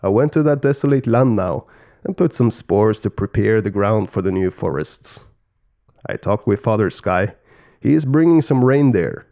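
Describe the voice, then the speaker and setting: A man's voice: lowish voice, animated, moderate pace, creaky, mellow. A man voicing an earnest, emphatic father creator god, loving yet easily exasperated, in a storybook tale. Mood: contrite.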